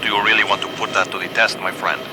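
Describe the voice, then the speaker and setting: A man's voice: lowish voice, little pitch movement, fast, lightly acted. A man playing a wheedling, menacing deposed Latin American military strongman in a satirical radio play, with formal phrasing. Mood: veiled menace. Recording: radio play with loud aircraft noise.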